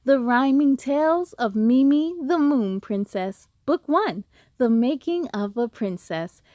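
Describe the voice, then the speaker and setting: A woman hosting a children's read-aloud, speaking in an expressive, deliberate, sing-song way to young listeners. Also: high-pitched, no echo, clean studio sound. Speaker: host of a read-aloud story series. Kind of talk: expressive children's storybook reading.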